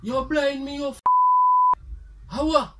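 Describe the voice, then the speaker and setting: Man acting out an outraged, high-pitched Jamaican father. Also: slow, distant mic. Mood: outraged scolding. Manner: loud, scolding exclamations. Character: outraged praying father shouting at his son. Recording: faint background noise.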